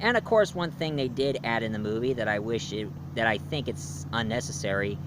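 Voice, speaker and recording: A man's voice: medium pitch, brisk, background noise. A man reviewing a movie in a casual, rambling way, with fillers and restarts. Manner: rambling, loose, with fillers and self-corrections.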